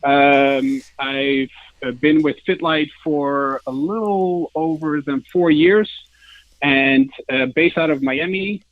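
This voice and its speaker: Male guest, Dutch accent, halting delivery pitching a product in an interview; dull, band-limited call sound with little room. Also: dry recording. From Netherlands. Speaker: sales director, interview guest.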